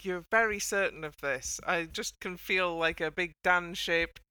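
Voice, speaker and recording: Woman podcast host, bright smooth voice, dry close sound, wry and chatty. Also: lowish voice, unhurried, no room sound. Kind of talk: casual discussion of a TV dance show.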